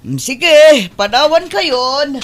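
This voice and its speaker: A woman's voice: high-pitched, big pitch swings, takes it slowly, exaggerated voice. A woman playing a commanding but generous lady of the house in an Ilocano comedy drama. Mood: easygoing, generous. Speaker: voice actress.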